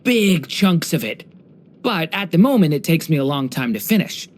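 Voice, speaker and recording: Young dragon boy, video game character, earnest, soft-spoken, curious, courteous, hesitant. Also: mid-range voice, big pitch swings, moderate pace. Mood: polite, sheepish. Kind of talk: scripted game character voice lines.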